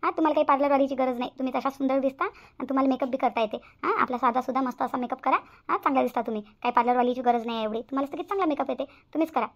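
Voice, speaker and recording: Woman acting fast, high-pitched blunt sister-in-law. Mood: fussing. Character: blunt, quarrelsome young sister-in-law at a family engagement. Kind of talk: Marathi family comedy cartoon dialogue. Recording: slightly dull lo-fi audio.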